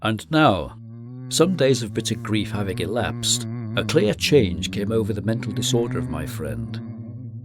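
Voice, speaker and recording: Gothic radio drama narrator: solemn literary gentleman, lowish and very expressive, over prominent music. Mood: ominous. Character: melancholy gentleman narrator recalling his friend's descent into madness.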